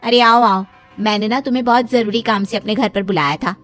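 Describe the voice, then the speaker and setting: A woman's voice: medium pitch, brisk, fairly bright tone, soft background music, close to an everyday voice. A woman voicing a principled young female friend in a cartoon story, earnest and persuasive. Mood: welcoming. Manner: earnest, explaining.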